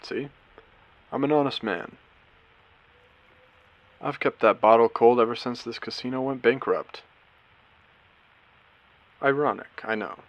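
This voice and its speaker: A man playing a courteous, eerie AI who hosts an abandoned post-apocalyptic casino in an audio drama, speaking theatrically with deliberate pauses. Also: lo-fi sound, natural voice. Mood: suave, welcoming. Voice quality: low, creaky voice. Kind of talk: scripted character audio drama monologue.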